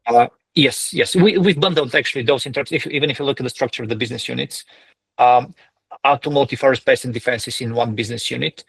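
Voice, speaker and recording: A man speaking as a company executive, giving semi-formal, measured and somewhat hesitant explanations on an earnings call. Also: medium pitch, gravelly, fairly bright tone, breathy edge, dry recording.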